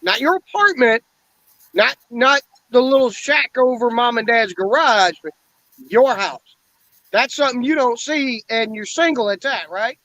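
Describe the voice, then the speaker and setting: A man's voice: very high-pitched, lively intonation, measured pace, bright, forceful tone. A man in his forties in a casual, blunt and profane chat, rambling as he talks. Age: 40s. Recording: dull lo-fi dry sound.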